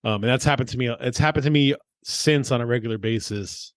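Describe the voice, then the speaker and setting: A man's voice: medium pitch, a little fast, dry recording. A middle-aged man in casual podcast conversation, telling personal stories in informal, sweary language. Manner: profane, informal. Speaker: podcast co-host. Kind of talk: casual chat and personal storytelling.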